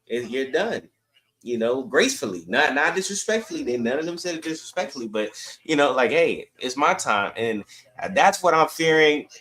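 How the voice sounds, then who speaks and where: A man's voice: a little high, expressive, moderate pace, fairly bright tone. Opinionated man talking sports casually. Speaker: sports podcast co-host.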